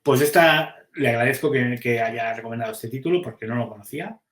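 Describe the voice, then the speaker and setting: A man's voice: medium pitch, a little fast, a bit bright, reverberant. A man, a comics publisher, chatting casually on a podcast and thinking aloud as he weighs which titles to publish, with frequent restarts.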